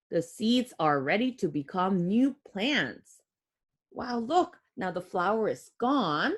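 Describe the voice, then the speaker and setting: A woman reading a picture book aloud to young children like a teacher, expressively, with simple explanatory asides. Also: a little high. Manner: expressive, child-directed, with audible gasps and exclamations.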